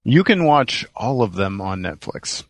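A man's voice: on the low side, big pitch swings, measured pace, vocal fry, no echo. A man chatting casually as the co-host of a podcast. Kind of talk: casual chat.

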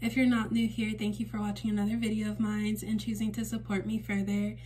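A woman with a temporarily raspy voice giving a casual spiritual card reading to camera at home, gentle and reassuring with frequent fillers. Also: medium pitch, completely flat delivery, quick pace, slight background noise. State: raspy voice for a few days. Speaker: tarot reader and channel host. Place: indoors at a table in a home room.

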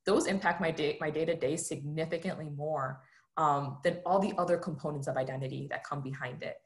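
A woman with a low, grounded voice speaks on a video-call panel. She is earnest and reflective, gestures with her hands as she talks, and keeps checking in with her listeners. The call audio is plain and close. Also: steady tone, brisk. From United States. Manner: earnest, reflective, often tags "right?".

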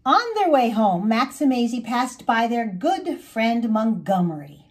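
Woman, high voice, slightly husky, expressive storybook narration, emphatic drawn-out words. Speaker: children's author reading aloud.

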